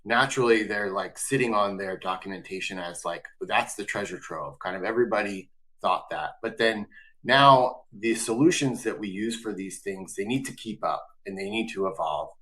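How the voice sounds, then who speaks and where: A man's voice: fairly low pitch, normal speed, bright, forceful tone, rough recording. A man hosting a business webinar, asking long, loose questions full of fillers. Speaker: webinar host.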